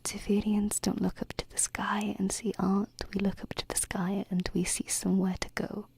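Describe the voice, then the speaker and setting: A woman whispering softly and intimately into a close binaural microphone in an ASMR roleplay as a gentle, adoring alien princess, with hesitant pauses. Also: medium pitch, level tone, vocal fry, noisy background. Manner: soft, slow, hesitant phrasing with pauses.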